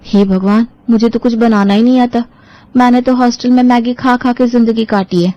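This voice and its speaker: Woman acting nervous young bride, fretful pleading manner, high voice, family story, dry sound. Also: steady tone, normal speed, no room sound, muffled recording, natural voice. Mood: dismayed.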